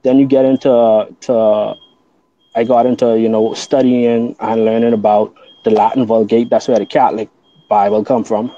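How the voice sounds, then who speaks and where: A man's voice: on the low side, level tone, moderate pace, dark tone, highs cut off. A man from the Bahamas, a guest on a casual podcast, who explains his beliefs earnestly and at length, often restarting his sentences.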